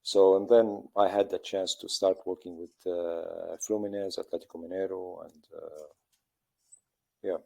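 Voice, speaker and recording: Deep, hesitant man from Lebanon. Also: steady tone, gravelly, dark tone. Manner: halting, many pauses and fillers. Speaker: football coach. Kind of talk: interview answers.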